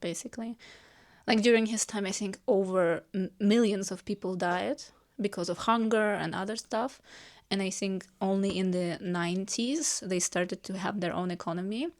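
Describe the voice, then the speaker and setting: A woman's voice: mid-range voice, moderate pace. A woman chatting casually with friends, reassuring them and explaining at length, with a lot of "like" fillers. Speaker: friend giving feedback. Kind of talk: casual chat among friends.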